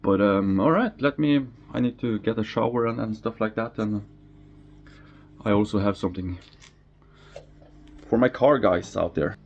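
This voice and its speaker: A man's voice: lowish voice, normal speed, pauses often. A man from Norway, not a native English speaker, casually rambling through a tour of his apartment, stumbling over words and chuckling at himself. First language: Norwegian. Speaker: aquarium hobbyist vlogger. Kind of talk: casual home tour vlog. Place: apartment, indoors. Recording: slightly dull home recording with faint noise.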